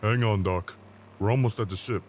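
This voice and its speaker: A cocky male Marine voiced by a man in a sci-fi military audio drama. His voice sits fairly low, with faint vehicle noise in the background. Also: moderate pace, darkish tone, close to an everyday voice. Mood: concerned. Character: cocky young male Marine driver, teasing squadmate.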